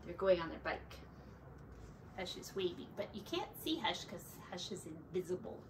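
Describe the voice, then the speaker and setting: A woman's voice: on the low side, very animated, normal speed. A woman teacher reading a storybook aloud to young children, expressively, with dramatic emphasis and little asides to her listeners. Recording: slightly distant mic, faint background noise.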